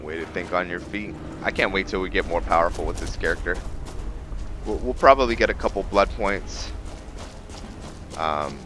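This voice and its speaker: A man's voice: low voice, normal speed, pauses often, noisy background. A man giving casual, rambling let's-play commentary as a gaming host.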